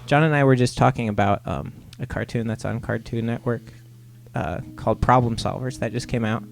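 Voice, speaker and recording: A man from Ohio, a comic artist guest, giving relaxed, rambling interview answers with lots of "uh"s. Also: mid-range voice, fairly quick, vocal fry. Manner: laid-back, rambling with many "uh"s.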